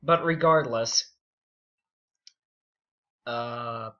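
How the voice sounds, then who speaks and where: Young man, mid-pitched voice, very slow pace, rambling casual storytelling, halting delivery, muffled low-fidelity sound. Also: a bit bright. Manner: rambling, halting, full of asides. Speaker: YouTube storyteller. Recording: dull, muffled lo-fi audio.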